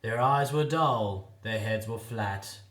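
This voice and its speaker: A man giving a theatrical, expressive dramatic recitation of a comic ballad as a poetry reader. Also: fairly low pitch, takes it slowly, reverberant.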